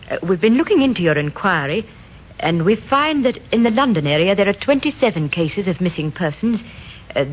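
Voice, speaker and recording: An actress playing a businesslike police officer giving a formal, slightly halting official report in an old radio drama. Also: female voice, fairly low pitch, close to an everyday voice. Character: businesslike female Scotland Yard officer reporting by call. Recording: dull, noisy old radio recording.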